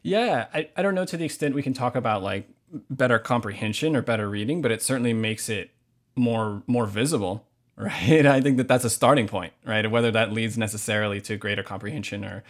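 A man's voice: lowish voice, fairly quick. An American man from Hawaii giving earnest, reflective interview answers in conversation, searching for his words as he goes. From Hawaii, United States. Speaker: podcast guest, novelist.

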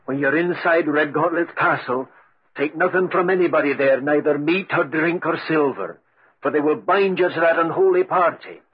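A man playing a solemn dead servant, grave and deliberate, with a very husky voice, in 1940s radio style. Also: on the high side. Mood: grave warning. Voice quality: very husky, breathy. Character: solemn dead servant ghost warning a friend. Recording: muffled 1940s radio recording.

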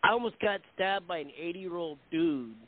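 Man, raised pitch, co-host telling rambling wrestling-ring stories, phone-line quality. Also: over a noisy crowd. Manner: storytelling, halting restarts. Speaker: podcast co-host, former wrestler. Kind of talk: casual chat. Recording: tinny phone-like audio.

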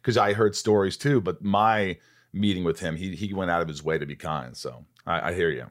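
A man in his late forties hosting a podcast, friendly, expressive and jokey. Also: deep voice, fairly quick. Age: 40s. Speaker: podcast host. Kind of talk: casual interview chat.

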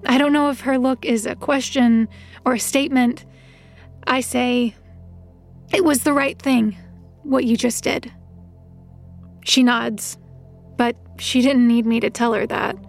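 Earnest female investigator's assistant, higher voice with heavy fry, introspective audio drama narration. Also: steady tone, a little slow, long pauses, faint music behind. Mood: reflective. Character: earnest, reflective, conscientious female detective's assistant.

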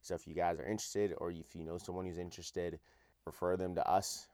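Male podcast host, deep and raspy, a bit hoarse; earnest, chatty solo delivery, dry close mic. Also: level tone, a little fast, darkish tone, no echo. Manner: earnest, conversational, asks listeners direct questions. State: hoarse after a night out.

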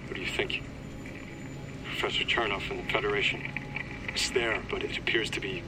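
An actor playing an earnest, pleading protector in an audio drama, insistent and persuasive. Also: male voice, on the low side, steady tone, normal speed, natural voice. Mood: urgent. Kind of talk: dramatic audio fiction acting. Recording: distant voice under loud vehicle noise.

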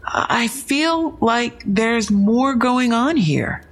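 An actress playing a frank, inquisitive police investigator in an audio drama, matter-of-fact and wry, with a husky, creaky voice. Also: female voice, on the high side, lively intonation, drawn-out words, full character voice. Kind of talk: full-cast audio drama dialogue.

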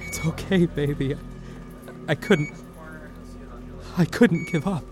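Halting and very slow, a dazed, lovesick traveler just out of hibernation. He grops for words in a sci-fi radio play, with loud music around him. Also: a little high. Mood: dazed, tender. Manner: halting, dazed, repeats himself. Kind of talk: audio drama acting.

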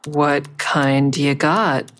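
A woman's voice: deep voice, steady tone, very slow. A woman playing a wary, dry-witted investigator who narrates her own story in an audio drama, guarded and understated. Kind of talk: first-person audio drama narration and dialogue.

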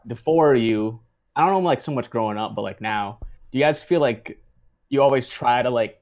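A man's voice: mid-range voice, moderate pace, a little mellow. Hesitant man from the Midwest. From American Midwest. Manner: hesitant, heavy use of "like". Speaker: podcast guest. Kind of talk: casual chat.

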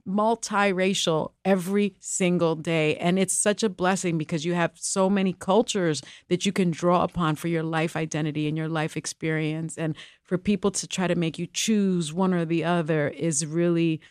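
A middle-aged woman whose first language is Spanish speaks in a candid, animated way, leaning hard on key words. Her voice sits a little low. The recording is close and dry, with no room sound. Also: moderate pace, hardly any pauses, no echo. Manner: animated, emphatic, with laughs and restarts. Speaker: co-host and guest. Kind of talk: candid conversational interview.

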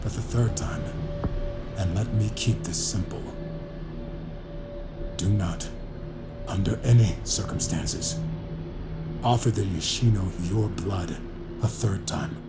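A man playing a grave mystic in an audio drama, speaking in solemn, measured, cryptic phrases. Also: deep voice. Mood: stern warning. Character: grave mystic man explaining an ancient blood ritual. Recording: dramatic music behind the voice.

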